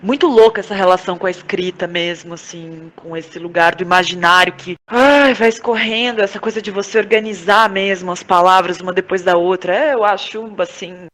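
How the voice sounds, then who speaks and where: A woman's voice: on the low side, a little fast, few pauses, a bit bright. A Brazilian woman speaking as a guest in a reflective conversational interview, feeling for her words and repeating them for emphasis. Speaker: interview guest, playwright and director.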